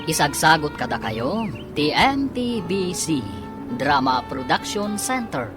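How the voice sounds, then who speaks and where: A man's voice: a little high, big pitch swings, slow pace, music in the background. A man with an Ilocano accent announcing a radio drama and reading its credits in scripted narration.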